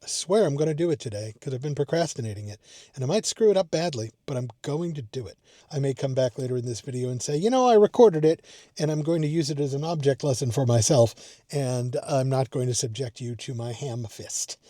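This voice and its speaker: A man's voice: medium pitch, brisk, dark tone, no room sound, clean studio sound. A man talking casually to a vlog from inside a parked car, rambling and thinking aloud in a self-deprecating way. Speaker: amateur radio vlogger. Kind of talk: casual unscripted vlog talk.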